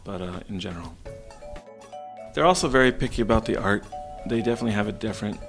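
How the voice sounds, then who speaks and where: Low, gravelly man, halting speech. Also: fairly bright tone, soft background music. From Los Angeles, USA. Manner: thoughtful, halting, many fillers. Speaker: game programmer guest. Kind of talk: casual interview answers. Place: small office meeting room, indoors. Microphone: handheld mic held by interviewer.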